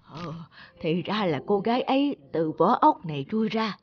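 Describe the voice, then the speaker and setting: A woman playing a kind, lonely old village woman in a cartoon folk tale, speaking gently. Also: mid-range voice, big pitch swings, normal speed, dull audio, a little hiss or hum, natural voice. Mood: wistful.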